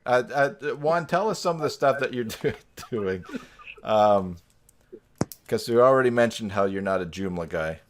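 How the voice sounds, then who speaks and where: Male stream host, lowish voice, loose and jokey, chatting on a video call. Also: expressive, normal speed, a little hiss or hum. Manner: joking, chuckling. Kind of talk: casual group chat on a video call.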